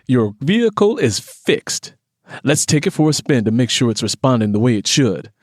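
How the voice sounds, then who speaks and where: A man's voice: medium pitch, big pitch swings, moderate pace, no room sound, clean studio sound. A man voicing a smitten, hopeful, easygoing working-class guy with a casual, playful, streetwise way of talking in an audiobook. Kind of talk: audiobook character dialogue.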